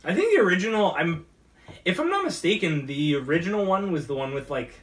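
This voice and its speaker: Casual talk show host, medium pitch, rambling hesitant delivery, distant mic. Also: very animated, fairly quick, noisy background. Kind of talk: casual chat.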